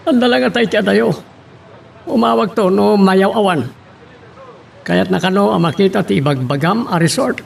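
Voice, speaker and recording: A man's voice: high-pitched, moderate pace, creaky, pauses often, a little hiss or hum. A man playing an authoritative boss giving orders in an Ilocano-style audio drama. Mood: commanding.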